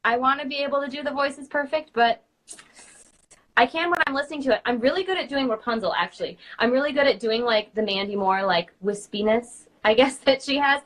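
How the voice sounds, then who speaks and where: A woman's voice: high voice, brisk, a bit bright, low-quality recording. A young woman hosting a casual live chat, talking in an informal, effusive, chatty way. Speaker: host, princess performer.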